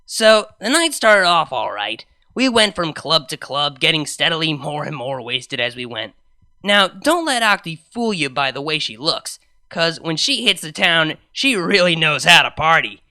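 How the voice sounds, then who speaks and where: Brash, sardonic young DJ mare played by a woman for a cartoon fan story; bright, forceful, slangy delivery with big pitch swings. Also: big pitch swings, moderate pace, exaggerated voice. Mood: wry, tired. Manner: chatty, slangy, wry storytelling asides. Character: brash young female unicorn nightclub DJ, sardonic, exasperated. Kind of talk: first-person fan fiction narration.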